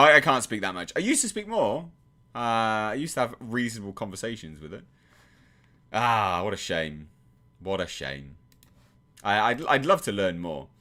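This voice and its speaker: A chatty man from England hosts his own livestream, reading comments and rambling in a self-deprecating way. His voice is on the low side but bright, and it swoops up and down with a lot of life. He talks into a desk mic in a home setup. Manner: chatty, self-deprecating, lots of restarts and fillers. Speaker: channel host reading live chat. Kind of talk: casual livestream chat.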